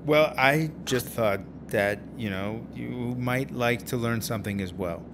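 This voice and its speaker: An actor playing a stubborn, quirky, defensive language learner in a radio drama. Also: male voice, mid-range voice, measured pace, noisy background, lightly acted. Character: stubborn, quirky man clumsily learning French. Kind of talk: naturalistic radio drama dialogue.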